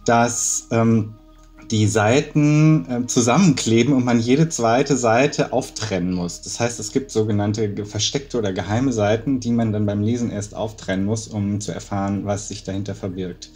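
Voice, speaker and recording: A man's voice: fairly low pitch, normal speed, darkish tone, faint music behind. A middle-aged man, an author, telling an anecdote in an interview over a video call. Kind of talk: storytelling in an interview. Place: living room indoors, video call.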